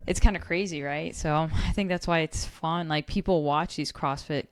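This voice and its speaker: A woman's voice: low-pitched, fairly even intonation, normal speed, clear, resonant tone, dry recording. A young woman co-hosting a fitness podcast, chatting casually and often acting out what others say. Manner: casual, quotes people in voices, says like often. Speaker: podcast co-host. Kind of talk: casual chat.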